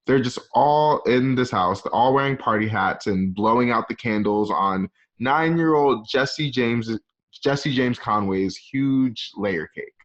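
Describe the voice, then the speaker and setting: A man's voice: medium pitch, slow, lo-fi sound. A man narrating a screenplay's stage directions for an audio drama table read, recorded at home.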